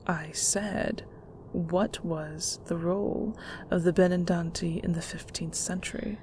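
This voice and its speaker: A woman playing a controlling, middle-aged mother in an audio roleplay, with condescending sweetness and a patronizing, deliberate delivery. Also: on the low side, fairly even intonation, darkish tone, a little hiss or hum. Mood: stern interrogation. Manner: patronizing, slow, deliberate interrogation.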